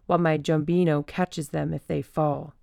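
A man's voice: fairly high pitch, flat delivery, moderate pace, creaky, mellow, no echo, clean studio sound, voice pushed up. A man voicing a shrewd, bossy female elephant who scolds and haggles bluntly. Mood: stern. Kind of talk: audiobook character voice.

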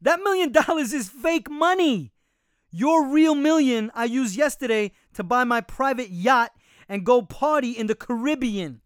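A swaggering hip-hop chimp. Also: male voice, extremely high pitch, lively intonation, a little slow, smooth, no echo, clean studio sound, pitched above the performer's own voice. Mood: cocky. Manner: slangy street talk, boastful salesman patter. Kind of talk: audiobook character voice.

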